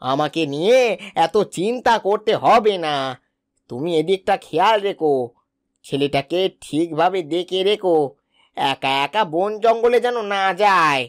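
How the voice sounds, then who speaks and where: A caring cartoon woodcutter played by a man speaks in a homely, reassuring way. His voice is high for a man, suited to a humble family father in a folk tale. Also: animated, measured pace. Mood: caring, practical. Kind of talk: cartoon dialogue.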